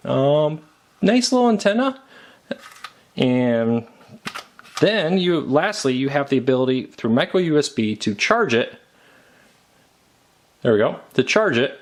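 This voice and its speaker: A man's voice: on the high side, a little hiss or hum. An American man giving a casual, unscripted product review in a conversational, matter-of-fact way. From United States.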